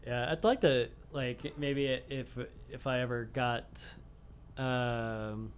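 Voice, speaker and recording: A man's voice: mid-range voice, slow, a little hiss or hum. A man hosting a casual podcast, rambling with lots of fillers and casual swearing. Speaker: podcast host.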